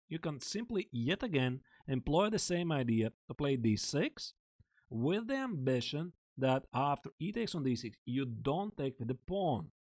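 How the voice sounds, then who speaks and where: A man coaching chess on a live stream, thinking aloud and chatting casually with viewers in a close desk mic. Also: on the high side. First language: Latvian. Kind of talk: live-streamed chess lesson, explaining lines.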